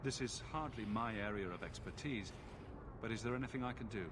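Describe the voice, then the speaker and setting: A man's voice: on the low side, fairly quick, background noise, lightly acted. A man playing a capable, direct adventurer hero in a video game, plain-spoken and a little wry. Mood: concerned. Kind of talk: video game cutscene acting.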